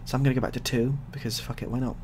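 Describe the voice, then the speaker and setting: A man telling improvised stories in a soft-spoken ASMR style, with a rambling, repetitive, deadpan delivery. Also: mid-range voice, very fast. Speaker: ASMR storyteller. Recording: clearly audible background noise.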